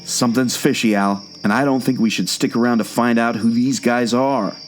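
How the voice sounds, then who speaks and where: A man playing a brisk, daring treasure-hunting adventurer in a scripted audio adventure drama, with exclamatory, bantering delivery. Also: medium pitch, steady tone, soft background music, natural voice. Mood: wary. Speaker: podcast host acting in radio play.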